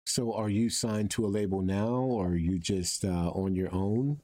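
A man's voice: on the low side, moderate pace, dark tone. A man hosting a conversational interview, putting his questions in a hesitant, wordy way. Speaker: interviewer, host.

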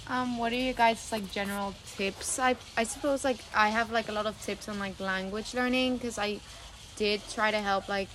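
A woman co-hosting a relaxed student podcast, talking freely and padding her sentences with "like". Her pitch stays fairly level. A faint hiss sits under the recording. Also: normal speed, poor audio. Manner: filler-heavy, lots of "like". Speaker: podcast co-host. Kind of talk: casual student podcast chat.

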